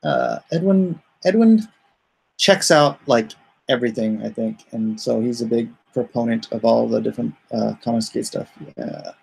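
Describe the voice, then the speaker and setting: A man's voice: mid-range voice, moderate pace, vocal fry, a little mellow, husky, low-quality recording. A man hosting a livestream, chatting casually and reading viewer comments aloud in a rambling, joking way. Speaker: comic artist hosting a livestream.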